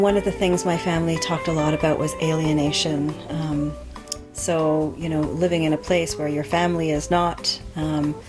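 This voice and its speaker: A middle-aged woman being interviewed as an author, answering thoughtfully with frequent ums and restarts. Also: deep voice. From Canada. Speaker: author guest.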